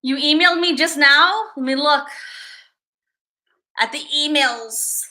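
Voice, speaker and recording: High bright-voiced woman rambling to her livestream chat. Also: takes it slowly. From Chicago, USA. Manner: rambling, chatty, reads viewer names aloud. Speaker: livestream host.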